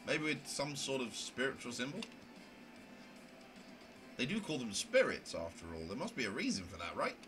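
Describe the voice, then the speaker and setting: A man's voice: on the high side, background noise, pitched above the performer's own voice. A man voicing a naive, chatty, prim young newcomer who narrates a visual novel in a wordy, rambling way. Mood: curious, rambling. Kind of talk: amateur visual novel voice acting, first-person narration. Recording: music under the voice. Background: music.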